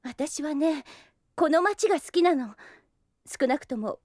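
High-voiced caring big sister. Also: female voice, expressive, unhurried, no room sound, clean studio sound. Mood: concerned, firm. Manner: gentle, polite, motherly. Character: kind, caring young woman, protective older sister. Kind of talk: anime audio drama acting.

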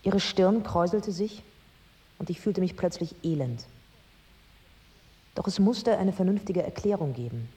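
An actress playing a thoughtful young woman, narrating in a measured first-person radio drama style. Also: on the low side, warm tone, a little hiss or hum, lightly acted. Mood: uneasy. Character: thoughtful young Baghdad woman recounting wartime life.

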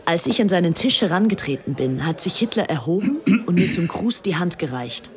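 Woman as gushing young upper-class Englishwoman, lowish voice. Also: lively intonation, a little fast, background noise, close to an everyday voice. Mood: earnest recounting. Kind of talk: radio drama monologue and dialogue.

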